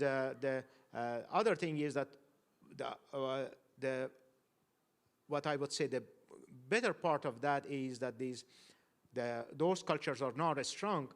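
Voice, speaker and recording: A man from Afghanistan speaking as a panelist, thoughtful and hesitant, often restarting his sentences. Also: medium pitch. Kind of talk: panel discussion.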